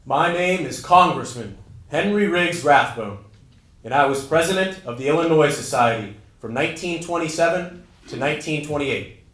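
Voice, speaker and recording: Guy reading as formal old-time congressman telling family history, slightly high male voice, stage monologue, lots of room echo. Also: a bit bright. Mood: formal, composed. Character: Henry Rathbone, formal congressman recounting his tragic family history. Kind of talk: scripted first-person dramatic monologue, read on stage.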